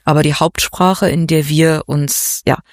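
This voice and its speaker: A woman's voice: low-pitched, steady tone, a little slow, dry recording, studio quality. A woman speaking casually as an interview guest from an art collective.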